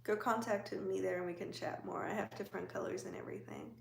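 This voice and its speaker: A woman's voice: medium pitch, steady tone, quick pace, gravelly, roomy sound, a little hiss or hum. A woman hosting a casual livestream, chatting and teasing as she answers viewers' comments from home. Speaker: livestream host.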